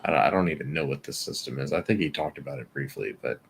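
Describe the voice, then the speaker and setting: A man's voice: very deep voice, quick pace, creaky, a little hiss or hum. A man co-hosting a casual podcast, laid-back and a bit hesitant as he reads out specs. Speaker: podcast co-host. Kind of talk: casual chat on a live stream.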